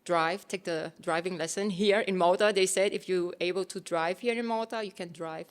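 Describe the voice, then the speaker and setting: A woman in her thirties from Thailand, chatting casually as an interview guest, with relaxed phrasing full of fillers and restarts. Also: fairly low pitch, normal speed.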